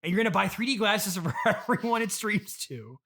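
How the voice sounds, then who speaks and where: A man's voice: high voice, expressive, brisk, a bit bright, slightly rough, full character voice. A man playing a spoiled, rebellious son of a trillionaire in an improvised comedy interview, dismissive, sarcastic and demanding. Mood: demanding, excited. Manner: dismissive and demanding, scoffing and teasing.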